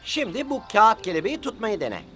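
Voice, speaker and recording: Encouraging preschool cartoon advisor: bright, very animated delivery, kindly and explanatory. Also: male voice, high voice, a little fast, soft background music, full character voice. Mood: reassuring. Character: kindly, reassuring cartoon helper giving gentle advice.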